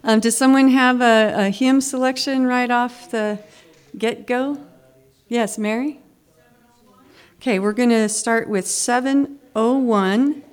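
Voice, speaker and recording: A woman's voice: on the high side, fairly even intonation, takes it slowly, clear, resonant tone. A woman leading a congregational hymn sing in church, her voice a little scratchy, talking in a friendly, chatty way with hesitant restarts. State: slightly scratchy voice. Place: church sanctuary.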